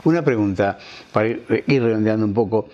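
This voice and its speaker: A man's voice: lowish voice, brisk, dark tone, muffled recording. A man with a Rioplatense accent conducting a conversational interview, reflective in how he frames his questions. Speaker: interviewer on a literary television programme.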